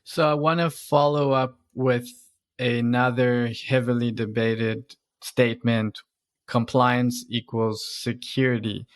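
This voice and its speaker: Male podcast interviewer, mid-pitched, conversational delivery, very dry recording. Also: level tone, takes it slowly, no echo. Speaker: podcast host.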